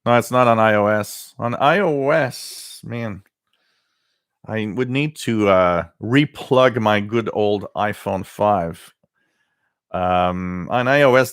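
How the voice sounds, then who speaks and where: Male host, low voice, dry close sound, loose rambling live chat with plenty of fillers. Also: slow, clean tone, dry recording. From Quebec. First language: French. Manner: rambling, hesitant with many fillers. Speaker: radio livestream host. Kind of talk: casual live chat reading viewer comments.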